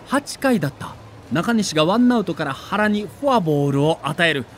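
A man's voice: sits high, expressive, moderate pace, a little hiss or hum. A man delivering dramatic scripted narration of a sports documentary, with theatrical, emotive storytelling in the role of a reporter.

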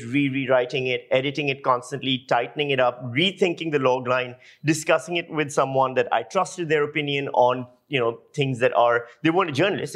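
A man's voice: mid-range voice, a bit bright. A man from Pakistan speaking as an interview guest, explaining his work through anecdotes in an emphatic way, repeating phrases for effect. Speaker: guest lecturer and audio journalist.

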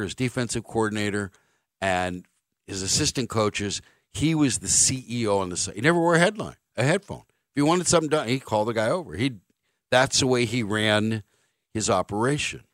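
A man with a fairly low voice hosts a sports talk show from a dry studio. He is opinionated and blunt, leaning on repeated phrases to drive each point home. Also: a bit bright, no room sound. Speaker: sports radio host.

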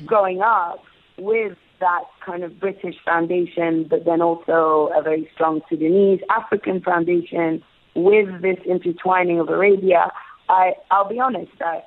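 A British-raised woman speaking as an interview guest, reflective and thoughtful, often restarting and repeating words as she thinks. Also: on the low side. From United Kingdom. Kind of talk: casual interview talk. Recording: phone-like narrow sound.

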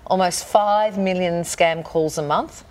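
A woman's voice: lowish voice, unhurried, over a noisy crowd. An Australian woman hosting a conversational radio panel and putting questions to the others. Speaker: host.

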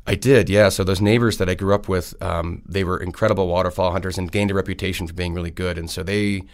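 Low gravelly-voiced guy in his 30s from wisconsin, talks fast and rambles a bit, lots of ums. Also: fairly even intonation. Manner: friendly, rambling, many fillers. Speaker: state senator. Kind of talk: casual interview answers.

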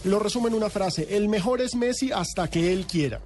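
High-voiced Colombian football commentator. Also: male voice, fairly quick. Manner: analytical, chatty. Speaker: sports commentator.

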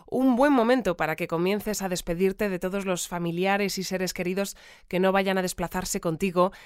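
An actress playing a composed, formal radio newsreader delivering a scripted bulletin in an audio fiction. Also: female voice, medium pitch, clean tone, dry recording, studio quality, close to an everyday voice. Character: composed female radio newsreader of strange town. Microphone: close studio mic. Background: music.

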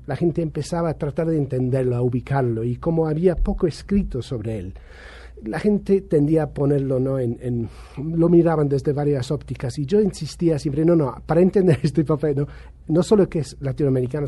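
A man's voice: a little high, warm tone, breathy edge. A middle-aged man whose first language is English, speaking Spanish with a British and slightly Argentine accent, answering interview questions thoughtfully with frequent restarts. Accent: Argentine-tinged Spanish over a British base. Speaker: journalist and author, interview guest.

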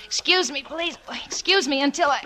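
Wisecracking high-voiced 1940s radio roommate played by an actress. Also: female voice, normal speed, natural voice. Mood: wry storytelling. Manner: wisecracking, dry sarcasm. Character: sardonic, exasperated young woman, sensible roommate of a scatterbrain. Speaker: narrator and co-lead of radio sitcom. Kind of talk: 1940s radio comedy acting. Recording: noisy, crackly 1940s radio recording.

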